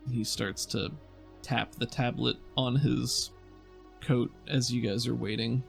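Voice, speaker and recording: A man's voice: medium pitch, fairly even intonation, slow pace, vocal fry, soft background music. A man working as game master, narrating a tabletop game in a descriptive, conversational way as he thinks aloud.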